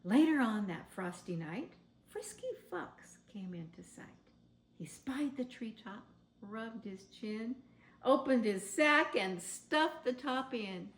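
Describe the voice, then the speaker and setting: Animated, high-voiced woman reading a storybook. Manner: theatrical, measured. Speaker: storybook reader. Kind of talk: expressive children's read-aloud. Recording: roomy, echoing sound.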